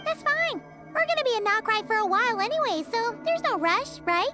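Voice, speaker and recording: A woman's voice: very high-pitched, expressive, fairly quick, over loud music. A woman voicing a small, perky, childlike fairy companion in a video game, chirpy and exclamatory. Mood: curious. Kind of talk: English video game voice acting.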